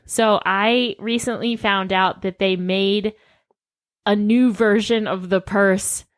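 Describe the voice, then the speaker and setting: A woman's voice: medium pitch, fairly even intonation, slow pace, dry recording, studio quality. A woman chatting casually and comically as a podcast co-host, playfully dramatic and mock-indignant. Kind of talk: comedic casual podcast chat.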